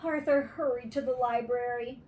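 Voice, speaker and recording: A woman reading a bedtime story aloud to young children in an expressive, deliberate teacher's manner. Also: a little high, a little hiss or hum.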